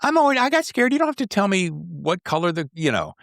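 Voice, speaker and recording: A man hosting a casual interview, talking in an excitable, jokey, digressive way as a fellow writer. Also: high voice, fast, gravelly, no room sound, studio quality. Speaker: podcast host and writer.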